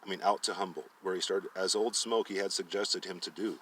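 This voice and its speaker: A man's voice: sits low, fairly even intonation, fairly quick, fairly bright tone, distant mic, a little hiss or hum. A man narrating fiction aloud as a storyteller, often stumbling and rereading phrases. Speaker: storyteller reading a book aloud.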